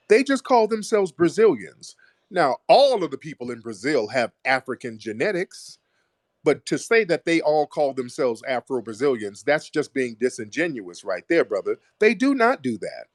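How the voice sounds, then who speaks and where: A man hosting a heated call-in debate, lecturing forcefully and repeating his points for emphasis. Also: fairly high pitch, no echo, studio quality. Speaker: host.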